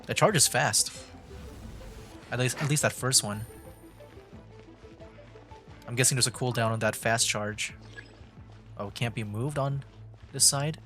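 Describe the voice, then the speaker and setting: A man streaming a game, casually commenting as he works through puzzles, thinking aloud with halting restarts. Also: medium pitch, pushed and bright, faint music behind.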